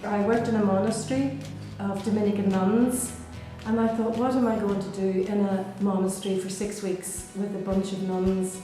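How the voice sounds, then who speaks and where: Belfast nun, flat and echoey. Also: female voice, mid-range voice, normal speed. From Northern Ireland. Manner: gentle, thoughtful, with frequent ums and restarts. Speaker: Dominican sister. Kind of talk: reflective personal interview. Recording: echoey room with music underneath.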